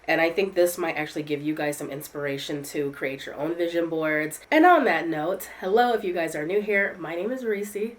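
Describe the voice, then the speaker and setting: A woman's voice: deep voice, lively intonation, normal speed, few pauses. A woman content creator chatting candidly to her viewers in a casual vlog, congested from a head cold. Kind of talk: casual talking-to-camera vlog.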